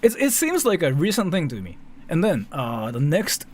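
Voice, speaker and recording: Japanese accent guy doing rambly streamer commentary, kinda high voice swooping all over, repeats himself a lot, bit of bg noise. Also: huge pitch swings, moderate pace, darkish tone. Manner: rambling, repetitive, self-interrupting, swears casually. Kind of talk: casual live reaction commentary. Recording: faint background noise.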